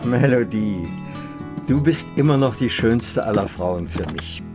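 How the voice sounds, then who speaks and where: An old husband speaks with calm resignation and tenderness. His lines are short and plain, in the intimate manner of a radio play, with music clearly behind him. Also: lowish voice, unhurried. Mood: tender. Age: older. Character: elderly husband, resigned, tender, calmly facing the end. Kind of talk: German radio drama acting.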